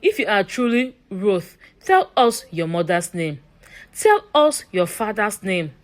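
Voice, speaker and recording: A woman's voice: mid-range voice, big pitch swings, a little slow. A woman voicing an old school cleaner, protective and insistent, giving urgent commands in a horror story. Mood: testing, firm.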